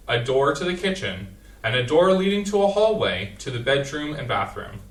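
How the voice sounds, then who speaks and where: A man reading stage directions aloud in a plain, even way at a staged reading. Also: mid-range voice. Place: community theater stage. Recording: echoey stage room, distant mic.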